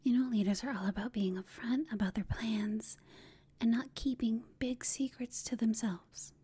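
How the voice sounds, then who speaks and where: A woman playing a flirtatious, smug female villain who taunts an enemy in a breathy whispered ASMR roleplay. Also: a little high, fairly even intonation, mellow. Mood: flirtatious teasing. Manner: slow, teasing, smug.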